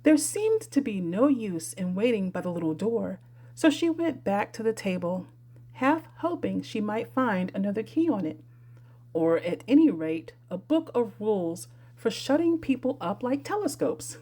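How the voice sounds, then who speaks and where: Woman reading a kids classic audiobook, soft dark husky tone, really animated up and down delivery. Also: mid-range voice, normal speed. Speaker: audiobook reader. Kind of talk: expressive children's storybook narration.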